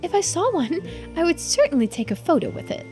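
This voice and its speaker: A woman voicing a bubbly, excitable young tourist in a video game, gushing with enthusiasm. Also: very high voice, a little fast, faint music behind, voice pushed up. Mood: delighted. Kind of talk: video game character read-aloud.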